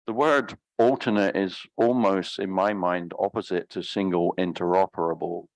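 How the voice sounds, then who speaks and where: A man giving closing remarks as a discussant on a conference panel, often halting and repeating words as he thinks. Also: sits low, fairly even intonation, gravelly, dry recording, low-quality recording.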